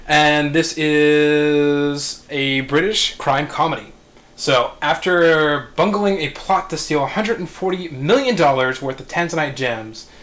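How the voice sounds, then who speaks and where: A man's voice: fairly high pitch, fairly bright tone. A man hosting a script reading, speaking casually as he banters and makes announcements.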